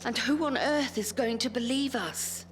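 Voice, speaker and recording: An actress playing a suspicious, excitable elderly care home resident in an audio drama. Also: female voice, on the high side, a little slow, slight background noise, close to an everyday voice. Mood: horrified. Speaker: voice actor.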